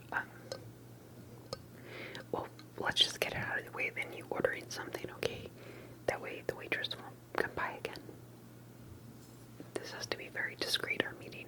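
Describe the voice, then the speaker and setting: A woman performing a whispered ASMR roleplay as a secretive, cautious investigator, speaking in a hushed and hesitant way with soft pauses. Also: poor audio. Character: secretive, cautious investigator interviewing an alien abductee.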